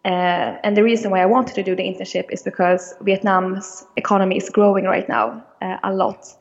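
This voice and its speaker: Young woman raised in Sweden, interview guest; gravelly, hesitant delivery, dull recording with long reverb. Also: mid-range voice, fairly even intonation, brisk. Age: young adult. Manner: hesitant, many fillers and restarts. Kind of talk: casual interview answers.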